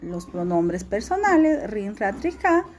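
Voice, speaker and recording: A woman teacher, giving a recorded class lesson in a clear, instructive way and repeating key words slowly. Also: medium pitch, sing-song, background noise.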